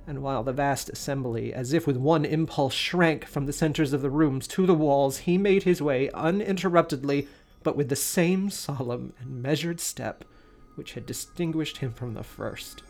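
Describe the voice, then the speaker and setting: A man hosting a podcast, giving a dramatic, expressive reading of a story. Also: mid-range voice, moderate pace, vocal fry, soft background music. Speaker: podcast host.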